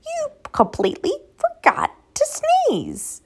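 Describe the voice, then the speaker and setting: A woman voicing a tiny, cheeky male gray mouse in a storybook reading, squeaky and teasing. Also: wildly swooping pitch, takes it slowly, gravelly, full character voice.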